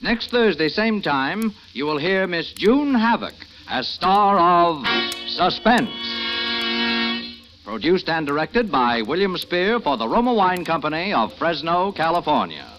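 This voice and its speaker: A man's voice: on the high side, very animated, measured pace, a bit bright, faint music behind. A man giving formal, declamatory closing announcements as a radio announcer on a dull old broadcast recording.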